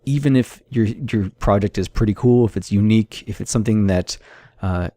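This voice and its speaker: A man's voice: fairly low pitch, normal speed, dark tone, no room sound, clean studio sound. A man giving a casual, unscripted monologue of advice as a game developer, conversational and thinking aloud. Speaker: solo indie game developer hosting an audio log.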